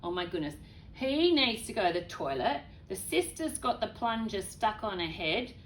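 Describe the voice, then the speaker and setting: A woman reading a children's story aloud in an expressive way, with chatty, exclaiming asides to young listeners. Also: mid-range voice, normal speed.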